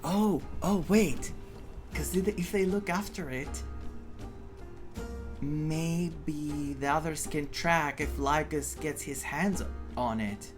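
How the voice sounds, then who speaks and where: A man's voice: a little high, takes it slowly. A man giving casual playthrough commentary, chatting and thinking aloud as he goes. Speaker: gaming content creator. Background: game music.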